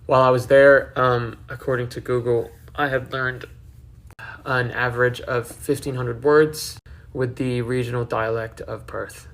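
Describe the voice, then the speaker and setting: A man in his twenties records a personal vlog for his viewers. His mid-range voice has a noticeable gravelly fry. He speaks candidly about himself, with casual swearing. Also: mid-range voice, flat delivery, a little slow. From several countries including the Philippines, Australia and the UK. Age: 20s. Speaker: online video creator.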